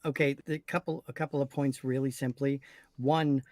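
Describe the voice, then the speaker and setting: A man's voice: mid-range voice, little pitch movement, moderate pace, a little mellow, no room sound. A man in casual, opinionated talk, blunt and emphatic, laying out his points firmly.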